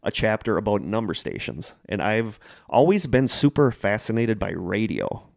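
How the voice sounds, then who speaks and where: A man's voice: lowish voice, expressive, normal speed, creaky, no echo, clean studio sound. A middle-aged man co-hosting a podcast, telling stories casually in an enthusiastic, rambling way. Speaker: podcast co-host. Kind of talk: casual conversational storytelling.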